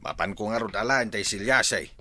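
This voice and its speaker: A man's voice: fairly low pitch, fairly quick, pushed and bright, dry recording. A man with an Ilocano accent playing a bickering husband in a comedy drama. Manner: bickering, exclaiming.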